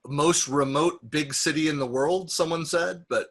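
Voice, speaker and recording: A man's voice: a little high, measured pace, slightly rough. A man hosting a casual interview over a video call, chatty and rambling, with frequent restarts. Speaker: host.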